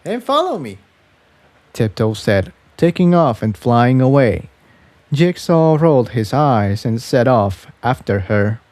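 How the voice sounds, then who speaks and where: A man narrates a story from a script in a mellow, relaxed voice. He takes his time, and the recording is close and dry. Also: medium pitch, takes it slowly, no room sound.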